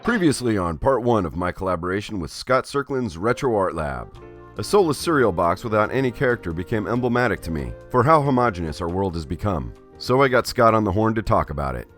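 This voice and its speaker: A man's voice: lowish voice, very animated, brisk, a bit bright, faint music behind. A man narrating a scripted art vlog as host, with casual asides and a theatrical, playful, self-interrupting manner.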